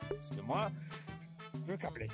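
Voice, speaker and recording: A man's voice: mid-range voice, big pitch swings, unhurried, faint music behind. A man in an online roleplay, talking as a bartender in a loose, joking, slangy way. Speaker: roleplay player voicing a hotel bartender. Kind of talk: casual in-character roleplay chat.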